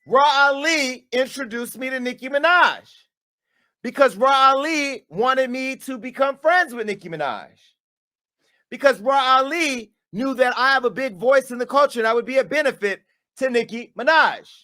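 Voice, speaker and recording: A man hosting his own show, delivering a dramatic callout monologue with sass, swinging between hushed asides and loud, punchy bursts. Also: very high-pitched, moderate pace. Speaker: media company owner and host.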